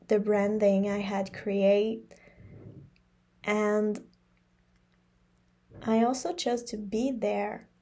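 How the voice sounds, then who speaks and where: A woman whose first language is French, hosting a solo podcast in a slow, reflective monologue that repeats phrases for emphasis. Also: mid-range voice, mellow. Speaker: podcast host.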